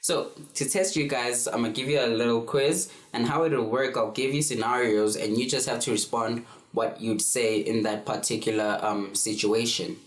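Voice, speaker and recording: A man's voice: mid-range voice, fairly even intonation, normal speed. A man in his twenties from South Africa giving a casual beginner language lesson, easygoing, with frequent ums and fillers. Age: 20s. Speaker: language tutor. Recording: roomy echo.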